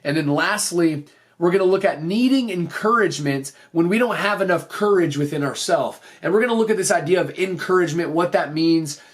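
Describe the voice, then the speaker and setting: Higher-pitched man, earnest podcast host. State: voice slightly off after losing it. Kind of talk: motivational podcast talk.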